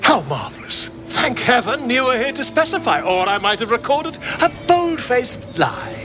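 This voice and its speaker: An actor playing a pompous, excitable male scholar in a video game, theatrical and wordy in manner. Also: very high-pitched, normal speed, over music. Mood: delighted. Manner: theatrical, verbose, exclamatory. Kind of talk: video game character acting.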